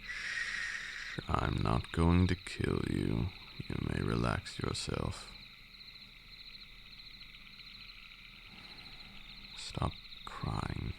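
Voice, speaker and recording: A man playing an ancient, haughty vampire lord in an ASMR roleplay, a condescending mentor with formal, archaic speech and a gravelly voice. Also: exaggerated voice. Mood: soothing, condescending. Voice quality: very deep, gravelly. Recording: steady noise with night insects. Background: crickets and night insect ambience.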